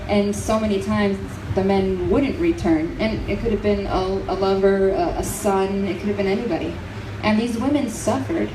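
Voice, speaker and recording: A woman's voice: mid-range voice, normal speed. A young woman, a fado singer from New Jersey whose first language is Portuguese, speaks earnestly and reflectively in a casual interview. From New Jersey, United States. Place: outdoor festival. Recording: echoey festival crowd noise from far off.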